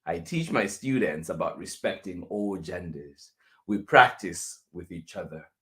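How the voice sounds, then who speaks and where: A man reading a children's picture book aloud as a teacher would, deliberately, with pauses between phrases. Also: low-pitched, lively intonation, sharp tone.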